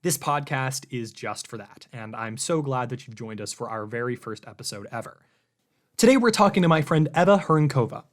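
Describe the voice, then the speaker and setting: A man's voice: medium pitch, expressive, normal speed. A young adult man hosting a casual podcast, upbeat and chatty, a little self-deprecating, recorded in an echoey room on a home mic. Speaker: podcast host.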